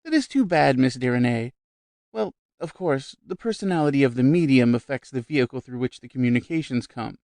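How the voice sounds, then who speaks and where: A man voicing a vivacious, shrill middle-aged society woman who gushes about spirits, raised high and loud above his own voice. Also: animated, brisk, dry recording, close to an everyday voice. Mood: lecturing.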